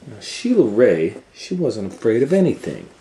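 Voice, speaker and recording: A man's voice: on the low side, huge pitch swings, normal speed, warm tone, low-quality recording. A man reading a children's picture book aloud as a storytime host, in a dramatic, playful way.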